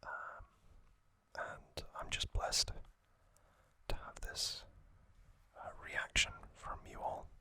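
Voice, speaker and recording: Male ASMR host, fully whispered, very slow and gentle, with long pauses. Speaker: host of an ASMR trivia channel.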